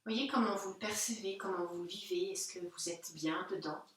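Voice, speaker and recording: A woman teaching yoga, guiding a relaxation session gently and unhurriedly in short phrases with pauses. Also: mid-range voice. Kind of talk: guided Yoga Nidra relaxation instruction. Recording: very echoey room, distant microphone.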